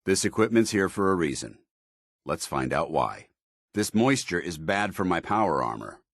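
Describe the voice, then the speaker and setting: An actor playing a stern, disciplined middle-aged soldier in power armor, speaking formal, clipped military lines for a video game. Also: male voice, sits low, sing-song, moderate pace, dry recording, studio quality, exaggerated voice. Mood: businesslike. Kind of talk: scripted video game dialogue.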